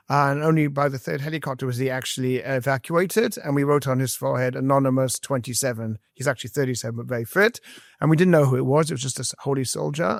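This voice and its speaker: A man's voice: medium pitch, vocal fry, no echo, studio quality. A man in his fifties with a mix of English and South African accent, telling his story in an interview, earnest and full of fillers. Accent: mixed English and South African. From South Africa and England. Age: 50s. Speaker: guest, army medic and educator.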